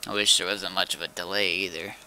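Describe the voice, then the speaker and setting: A man with a lowish, very bright and forceful voice chats casually while live streaming a game. His remarks are short and laid-back, with a mild grumble. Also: flat delivery, fast talker, slight background noise, poor audio. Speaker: game streamer and server owner. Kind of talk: casual live gameplay chat.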